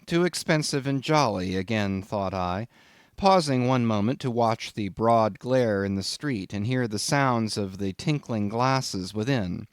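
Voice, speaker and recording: A man reading a literary audiobook as scripted narration, in an expressive, measured storytelling manner. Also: fairly low pitch, clear, resonant tone, dry recording, studio quality.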